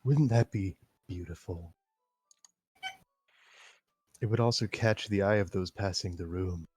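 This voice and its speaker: A man's voice: deep voice, measured pace, darkish tone, husky, no room sound. A man reading as a shy knife-loving schoolgirl. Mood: dreamy. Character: shy intense teenage girl fond of knives. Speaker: reader in a staged reading. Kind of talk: casual read-aloud of game dialogue.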